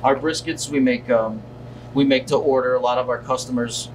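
A man in his thirties answering interview questions casually and haltingly, as a deli owner. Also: mid-range voice, steady tone. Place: indoors in a deli, seated at table. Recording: distant mic, loud hum of air conditioning.

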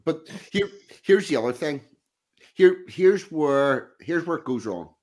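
A man's voice: fairly high pitch, lively intonation, unhurried, darkish tone. A man hosting a casual online video call chat, talking bluntly and emphatically. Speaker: host. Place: indoor room.

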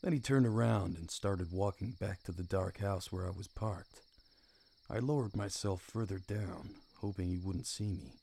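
Man acting a low, gravelly noir detective. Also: dark tone, a little hiss or hum. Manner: dry, wry, brooding. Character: world-weary hardboiled male private detective. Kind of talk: noir radio play narration, first person.

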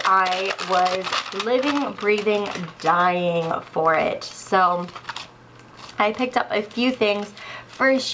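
A young woman showing sticker kits in a casual haul video, gushing and excitable, a little stuffy. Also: medium pitch, background noise. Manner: gushing, excitable, laughs often. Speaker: planner sticker vlogger. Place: indoors at a wooden table.

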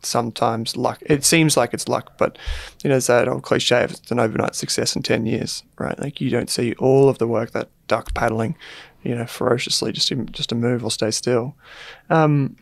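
Male interviewer, mid-pitched, tentative phrasing, dry studio-close sound. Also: fairly even intonation, moderate pace, vocal fry, no room sound. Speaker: podcast host, interviewer. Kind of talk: conversational interview.

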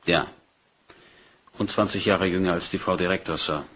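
A man's voice: low voice, fairly even intonation, moderate pace, fairly bright tone. A man acting a dutiful, matter-of-fact police inspector in a German crime radio play, polite and orderly in his delivery. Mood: reporting. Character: dutiful, matter-of-fact male Scotland Yard detective inspector.

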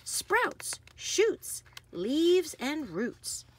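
A woman reads a children's picture book aloud expressively, with deliberate phrasing and emphasis on action words. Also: sits high, sing-song, a little hiss or hum. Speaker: read-aloud host.

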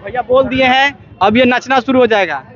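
A man's voice: extremely high pitch, normal speed. A young man hosting a chatty, teasing vlog from an outdoor fairground, talking into a handheld mic and laughing often. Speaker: vlogger host. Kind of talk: lively on-the-spot fair commentary. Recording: dull lo-fi outdoor audio with loud crowd.

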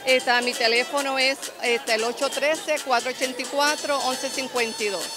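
A woman's voice: sits high, steady tone, normal speed. Puerto Rican woman artisan over background music. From Puerto Rico. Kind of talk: event interview. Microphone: handheld mic. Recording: clear music behind.

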